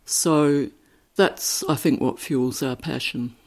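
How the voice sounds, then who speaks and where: A woman answering interview questions in a measured, articulate, dryly critical way. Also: very deep voice. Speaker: activist interviewee.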